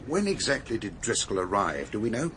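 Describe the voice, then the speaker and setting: A man's voice: fairly low pitch, sing-song, a little fast, fairly bright tone. A man acting a brusque, businesslike police superintendent in a British radio drama. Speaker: police detective. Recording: clear background noise and ambience.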